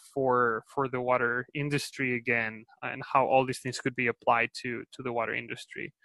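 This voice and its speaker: A man's voice: medium pitch, pushed and bright, dry recording, rough recording. A man from Spain with a Spanish accent, answering interview questions in a hesitant way with many restarts and fillers. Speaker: guest, company executive.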